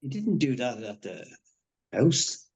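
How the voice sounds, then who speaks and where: Man acting awkward tactless guy in a thriller read, halting, muffled lo-fi mic. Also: mid-range voice, very animated, darkish tone, slightly rough, heavily acted. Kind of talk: live dramatic table read.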